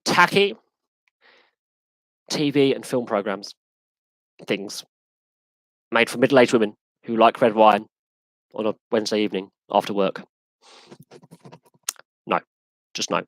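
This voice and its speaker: A man with an English accent hosting a live sports podcast Q&A, talking in an animated, emphatic way that often restarts and repeats itself. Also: fairly low pitch, a little slow, pauses often, no room sound, poor audio. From England. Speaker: podcast host. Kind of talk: live Q&A rant.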